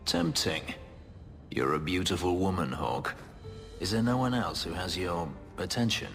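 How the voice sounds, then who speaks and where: An actor playing a brooding, guarded elf who escaped slavery, speaking in a measured, formal, wryly understated way in a video game. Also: male voice, a bit bright. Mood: wryly flirtatious. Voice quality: deep, low voice. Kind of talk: dramatic video game acting. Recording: long reverb, music behind.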